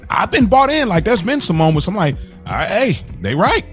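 An American man co-hosting a podcast in casual conversation, telling things in an animated, slangy way. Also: high voice, a little fast, soft background music. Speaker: podcast co-host.

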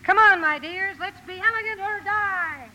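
A woman's voice: extremely high pitch, pushed and bright, full character voice. A woman acting a blunt, hearty tomboyish young woman, impatient with elegance, in a 1950s radio drama. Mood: wry, exasperated. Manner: brisk, hearty, forthright. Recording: old radio broadcast, faint music behind.

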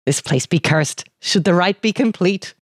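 A woman's voice: on the low side, lively intonation, normal speed, dry recording, clean studio sound, pitched above the performer's own voice. A woman doing a fervent Scottish man. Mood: fervent warning. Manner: archaic, ominous, earnest phrasing.

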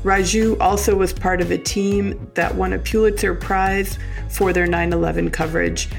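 A woman's voice: mid-range voice, fairly even intonation, moderate pace, vocal fry, faint music behind. A woman hosting a business podcast, reading a polished intro and then interviewing a guest. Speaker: podcast host.

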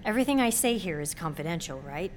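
A woman's voice: on the low side, lively intonation, fast talker, close to an everyday voice. Wry young woman played by an actress, background noise. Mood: earnest, guarded. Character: intense, wry young woman in her twenties, guarded. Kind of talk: naturalistic audio drama dialogue.

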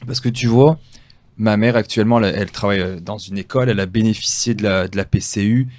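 A man's voice: fairly low pitch, quick pace, a little mellow. A man from France with a slight accent from there, chatting casually as a podcast interviewer. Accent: French from France, slight. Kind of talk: casual conversational chat.

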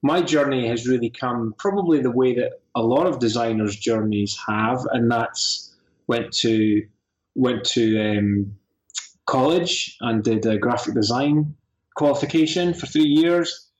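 A man in his forties answers interview questions in a relaxed, easygoing way. He hesitates often and fills the gaps with ums. His mid-pitched voice rings out in an echoey room. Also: a little slow. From Scotland. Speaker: guest, graphic designer.

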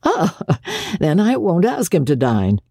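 A woman voicing an edgy, perverse young gentleman husband with dry retorts, in a dramatic audiobook reading. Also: lowish voice, wildly swooping pitch, a little slow, gravelly, slightly rough, dry recording, heavily acted. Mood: conceding.

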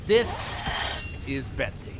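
A man's voice: very slow, background noise. An actor playing a grumpy, blunt retired waste worker who threatens people with his shovel. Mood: gruff threatening. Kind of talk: audio drama acting.

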